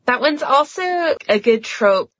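Woman podcaster, husky, on muffled audio. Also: fairly high pitch, a little slow, a bit bright, no room sound. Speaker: podcast co-host. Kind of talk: casual podcast discussion.

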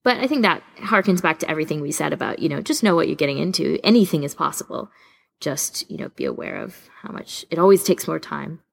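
A woman's voice: low voice, fast talker, gravelly. A woman co-hosting a podcast in casual, good-humoured chat. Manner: good-humoured, given to laughing as she talks. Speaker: podcast co-host.

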